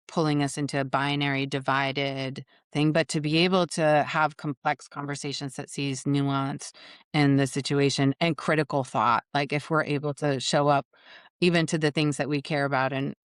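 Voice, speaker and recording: Smooth-voiced woman, earnest. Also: deep voice, dry recording, clean studio sound. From Massachusetts, USA. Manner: earnest, thoughtful, restarts often. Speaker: guest, retreat leader. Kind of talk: casual conversation.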